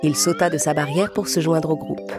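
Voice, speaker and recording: Lowish-voiced woman narrating a children's tale. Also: fairly even intonation, normal speed. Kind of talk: scripted storybook narration for children. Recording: music clearly behind the voice.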